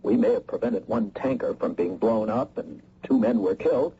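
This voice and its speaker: An actor playing a guarded, wry middle-aged government lawyer and secret agent in a radio mystery drama. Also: male voice, mid-range voice, expressive, moderate pace, warm tone, slightly rough. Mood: guarded, dismissive. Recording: muffled, noisy old radio broadcast.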